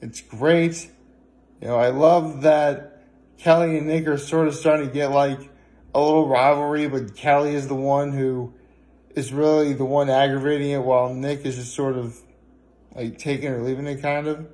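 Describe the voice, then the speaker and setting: A man chatting casually in a solo vlog, rambling and halting as he often corrects himself. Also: mid-range voice, little pitch movement, darkish tone. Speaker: vlogger. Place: indoors at home, on a couch.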